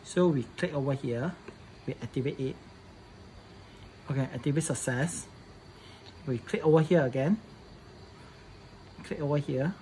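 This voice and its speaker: Higher-voiced man with noise behind him. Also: a little slow, pauses often, mellow, low-quality recording. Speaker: presenter of a product setup tutorial. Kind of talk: casual hands-on tutorial narration.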